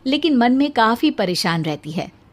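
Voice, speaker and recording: A woman's voice: fairly high pitch, big pitch swings, normal speed. A woman reading scripted story narration.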